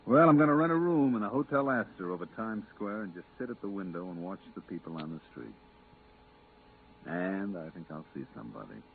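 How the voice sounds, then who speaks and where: An actor playing a stern, weary moon-base pilot with a clipped, authoritative manner, in a 1950s radio drama. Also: male voice, on the low side, lively intonation, a little fast. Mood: weary, guarded. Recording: tinny, hissy 1950s radio recording.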